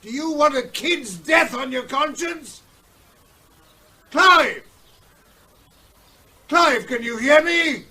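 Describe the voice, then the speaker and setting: An actor playing an earnest veterinary surgeon in a radio drama, who talks urgently and persuasively. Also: male voice, very high-pitched, expressive, takes it slowly, long pauses, bright, forceful tone, exaggerated voice. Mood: pleading.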